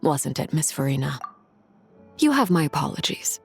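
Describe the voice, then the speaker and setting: A woman's voice: low voice, sing-song, moderate pace, vocal fry, faint music behind, heavily acted. A woman voicing a composed, formal noblewoman in a game, gracious and controlled. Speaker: game character.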